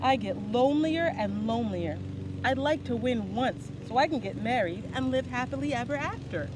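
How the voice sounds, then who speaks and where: A woman voicing a lonely, gentle male dragon in a storybook, speaking earnestly and plaintively. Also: on the high side, expressive, moderate pace, background noise, close to an everyday voice. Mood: sad, pleading.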